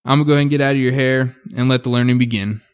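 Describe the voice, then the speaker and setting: Smooth-voiced enthusiastic podcast host. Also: male voice, medium pitch, fairly even intonation, rapid-fire. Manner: upbeat, enthusiastic. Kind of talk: scripted episode intro and interview questions.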